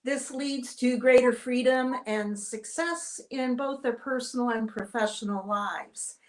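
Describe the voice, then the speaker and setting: A woman from Cleveland who works as a life coach, introducing herself as an author guest in a poised, measured way on a video call. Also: a little high, slight background noise. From Cleveland, Ohio.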